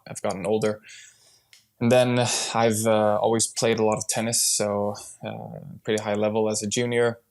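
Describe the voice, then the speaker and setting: A man from Sweden who partly grew up in Brazil, talking casually as a podcast guest, often restarting his sentences. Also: lowish voice. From born in Sweden, partly raised in Brazil. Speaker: podcast guest, startup founder. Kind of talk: casual conversational interview.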